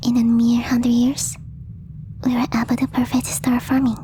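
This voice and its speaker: A woman voicing a gentle, devoted young female alien in a soft, breathy whispered ASMR roleplay, speaking hesitantly in formal, slightly stilted phrases. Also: on the high side, little pitch movement, moderate pace, slight background noise, close to an everyday voice. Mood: gentle, earnest explaining.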